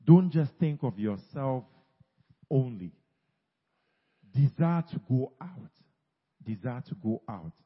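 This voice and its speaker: Male pastor, husky, urging, echoing church hall, muffled sound. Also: medium pitch, expressive, takes it slowly, long pauses. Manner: urging, repeats phrases, teases congregation members. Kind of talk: sermon exhortation.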